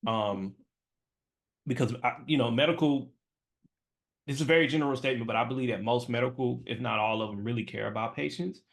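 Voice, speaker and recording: A man's voice: mid-range voice, fairly quick, creaky. A young man hosting a podcast, talking casually with many fillers. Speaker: podcast host. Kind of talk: casual interview talk.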